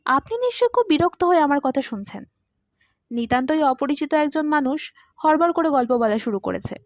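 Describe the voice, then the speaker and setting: A woman's voice: sits high, lively intonation, moderate pace, no echo. A woman voicing a courteous, talkative man of about forty who confides his story wistfully in an audiobook. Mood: polite, coaxing. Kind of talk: audiobook character dialogue.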